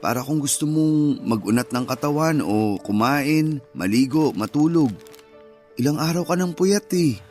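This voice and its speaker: A man's voice: fairly high pitch, expressive, unhurried, darkish tone. A man with a Filipino accent acting as a caring, patient young husband in a radio-style romance drama. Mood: gentle, pleading. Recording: music under the voice.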